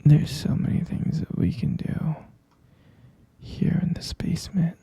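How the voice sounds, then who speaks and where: Guy doing a creepy sweet yandere kidnapper asmr, slow whispery pleading, super dry close sound, crackly obsessive voice. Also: on the high side, mellow, no echo, heavily acted. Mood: soothing, tender. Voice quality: breathy, husky whisper with gravelly creak. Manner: slow, coaxing, repetitive pleading with pet names. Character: obsessive, possessive yandere male captor, tenderly menacing.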